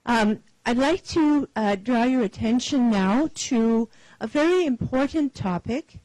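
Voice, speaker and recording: A woman moderating a conference who introduces a guest speaker, with a hesitant delivery and many pauses. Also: a little high, animated, darkish tone, no room sound, rough recording. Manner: hesitant, many fillers and restarts.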